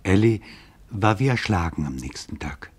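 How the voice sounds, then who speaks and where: A man narrating a scripted radio documentary play in a grave, deliberate manner with weighted pauses. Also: deep voice, animated, breathy edge.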